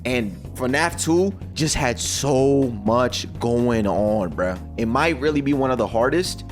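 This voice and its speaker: A young man hosting a reaction video in casual, slangy chat, animated and repeating himself when excited. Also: mid-range voice, slow, soft background music.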